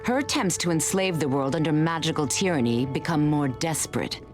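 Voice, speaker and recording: An actress playing a noble, stern warrior heroine, giving formal, grave mission briefings in a superhero game. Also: female voice, deep voice, animated, a little fast, over music. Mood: grave, thankful.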